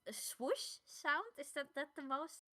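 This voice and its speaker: A woman co-hosting a podcast in casual chat, halting and repeating words as she finds her phrasing. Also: high voice, bright, forceful tone. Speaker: podcast co-host.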